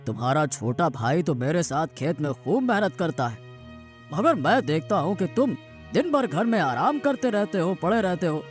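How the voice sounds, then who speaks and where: A man's voice: a little high, big pitch swings, normal speed. A man playing an old village farmer father in a cartoon moral story, admonishing and worried. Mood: scolding. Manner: exhorting, admonishing. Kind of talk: animated moral story dialogue. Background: background music.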